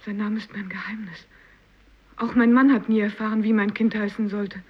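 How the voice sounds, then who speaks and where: Eine Schauspielerin spielt in einem literarischen Hörspiel eine trauernde, vorzeitig gealterte Fischersfrau. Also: female voice, medium pitch, fairly even intonation, moderate pace, breathy edge, lightly acted. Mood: sorrowful. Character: bereaved fisherwoman, aged beyond her years, secretive grief. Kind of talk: 1950s literary radio play acting. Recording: dull, lo-fi radio recording.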